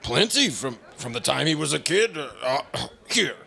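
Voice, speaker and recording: Man acting a creaky, forceful radio-play federal agent. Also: on the high side, sing-song, exaggerated voice. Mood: earnest, halting. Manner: halting, with stammered pauses. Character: hesitant, earnest male federal bureau agent. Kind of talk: old-time radio drama acting.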